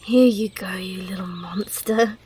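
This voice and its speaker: A woman's voice: mid-range voice, steady tone, measured pace, darkish tone, slight background noise, pitched below the performer's own voice, lightly acted. A woman playing a dry, teasing female thief. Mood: exasperated, wry. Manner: dry, teasing, mock-impatient. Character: gruff, teasing female thief, grudgingly fond. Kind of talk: intimate ASMR roleplay acting.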